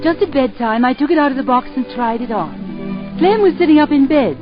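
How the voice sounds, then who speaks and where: A wife and mother gently teases and coaxes her husband. Her voice is fairly high, with a lively, swooping pitch. The sound is the dull, muffled tone of a 1940s radio broadcast. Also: a little fast, over music. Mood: narrating. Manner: gently teasing, coaxing. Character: warm middle-aged English mother and wife, teasing. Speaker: narrator and lead.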